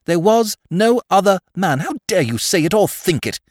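A man's voice: mid-range voice, expressive, moderate pace, no echo, clean studio sound, lightly acted. A man voicing a young aristocratic woman who is earnest, anguished and stubbornly insistent, in an audiobook novel. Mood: defiant. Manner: grave, earnest, formal phrasing.

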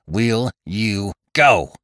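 A man voicing a stern, fanatical male church elder who gives orders in a raised, forceful voice. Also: lowish voice, expressive, drawn-out words, no room sound, studio quality, pitched above the performer's own voice, exaggerated voice. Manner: raised, forceful, commanding delivery.

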